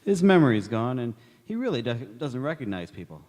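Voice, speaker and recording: A man's voice: mid-range voice, sing-song, fairly quick, a little mellow, close to an everyday voice. A man acting a hearty, blunt and curious family man in a stage radio drama. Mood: blunt, surprised. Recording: echoey hall with long reverb.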